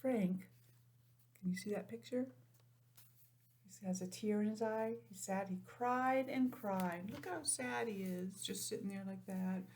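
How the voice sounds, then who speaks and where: A woman teacher reading a picture book aloud to young children, stopping for simple, chatty explanations in an animated manner. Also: medium pitch, unhurried. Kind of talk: storybook read-aloud with chatty asides.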